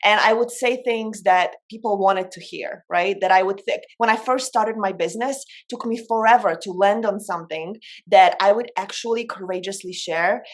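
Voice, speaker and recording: Woman, medium pitch, emphatic manner, informal coaching talk. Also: moderate pace, hardly any pauses. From Poland. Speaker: coach and speaker. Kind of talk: informal motivational talk on spiritual self-development.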